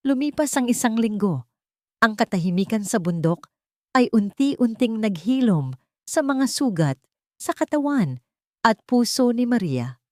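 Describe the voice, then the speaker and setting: A woman's voice: lowish voice, dark tone, no room sound, clean studio sound. A woman narrator reading a scripted dramatic love story.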